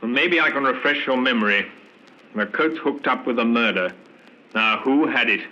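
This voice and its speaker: An actor playing a tough, dutiful police sergeant in an old radio drama, blunt and businesslike. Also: male voice, medium pitch, moderate pace, bright, forceful tone. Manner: blunt, deferential to his superior. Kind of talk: 1950s radio drama acting. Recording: muffled old radio recording.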